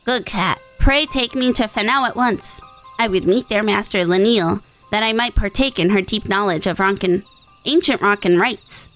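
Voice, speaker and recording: A woman's voice: mid-range voice, normal speed, a bit bright, natural voice, low-quality recording. Woman as a small earnest rodent-folk scholar, polite, archaic phrasing, video game dialogue, faint music behind. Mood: eager, courteous.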